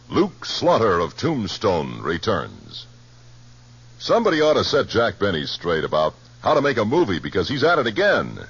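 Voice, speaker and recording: Animated American male radio announcer, husky. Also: on the low side. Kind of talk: 1950s scripted radio promo announcing. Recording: muffled vintage radio broadcast.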